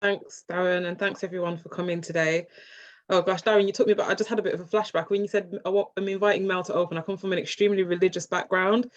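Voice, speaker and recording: A woman's voice: on the low side, fairly even intonation, fast, no echo. Chatty woman presenting over a video call. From England. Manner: chatty, self-deprecating, many fillers and restarts. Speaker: workshop presenter. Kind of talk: informal online workshop presentation. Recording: muffled dry video call audio.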